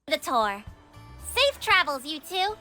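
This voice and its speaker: A woman's voice: high voice, very animated, slow pace, pushed and bright, heavily acted. A woman voicing an earnest, friendly young female cartoon animal in a comic dub, explaining things plainly over music. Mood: helpful. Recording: music under the voice.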